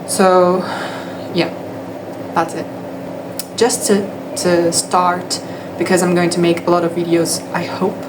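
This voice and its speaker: Young Brazilian woman, Portuguese native, hesitant casual English, low flat voice, air-conditioner hum behind. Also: slow, long pauses. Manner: hesitant, with frequent restarts and fillers. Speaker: English teacher. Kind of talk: casual talking-to-camera monologue.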